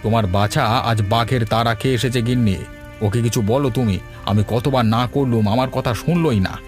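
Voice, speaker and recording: A man's voice: medium pitch, brisk, a little mellow, soft background music, close to an everyday voice. A man voicing a village husband and father in a cartoon, easygoing but able to be stern. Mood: stern scolding. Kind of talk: cartoon voice acting.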